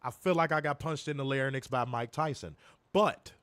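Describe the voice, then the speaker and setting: A young man co-hosting a wrestling review stream, hoarse from illness, cursing and joking straight to his viewers. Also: mid-range voice, moderate pace, a bit bright, no room sound. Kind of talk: casual live-stream chat. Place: home, in Chicago.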